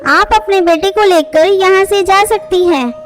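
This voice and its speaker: A woman's voice: extremely high pitch, unhurried, soft background music. A woman voicing a devoted, outspoken young adult daughter bird in a moral-story cartoon, earnest and direct. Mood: indignant, firm. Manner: pleading, plainly outspoken.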